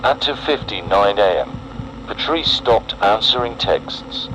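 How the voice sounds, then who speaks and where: A man with a fairly deep voice delivers a scripted emergency warning in analog horror style. His delivery is solemn, measured in tone and ominous. The recording carries obvious background noise. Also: animated, lo-fi sound. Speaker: narrator.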